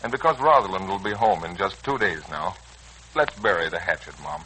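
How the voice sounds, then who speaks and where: An actor with an American accent playing an earnest, slangy, hot-headed young son in a 1940s radio serial. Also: male voice, low-pitched, expressive, brisk, bright, forceful tone, exaggerated voice, rough recording. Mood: earnest, conciliatory. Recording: old, rough radio recording.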